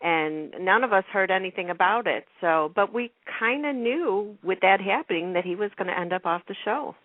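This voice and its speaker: A woman's voice: medium pitch, lively intonation, moderate pace, dry recording. A woman radio host in casual, chatty fan talk, rambling as she tells things. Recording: thin, phone-like radio line.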